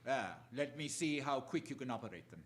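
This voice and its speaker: An actor playing a commanding, menacing crime boss, imperious and deliberate in a theatrical radio drama style. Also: male voice, mid-range voice, animated, fairly bright tone, close to an everyday voice.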